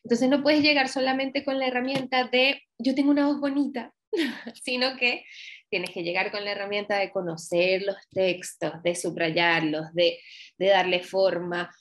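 A young woman from Venezuela with a Venezuelan accent, a voice actress chatting as a guest over a video call, lively and laughing often. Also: medium pitch, moderate pace. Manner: lively, laughs often, acts out quoted lines. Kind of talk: casual interview chat. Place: home room, video call.

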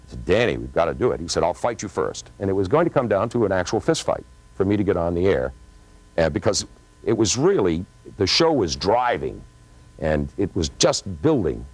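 A middle-aged man with a very deep voice recounts events as a storyteller would, playing out the dialogue with drama. The studio sound is dry and close. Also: very animated, brisk, breathy edge, dry recording, poor audio. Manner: dramatic, acts out quoted dialogue. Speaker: former radio program director recalling a broadcast. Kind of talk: animated storytelling to camera. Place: TV studio stage.

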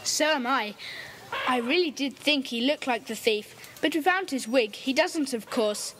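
A woman's voice: high-pitched, unhurried, a bit bright, slight background noise. An actress playing a decisive young boy who leads a children's club. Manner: decisive, bossy. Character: boy Peter, the group's leader.

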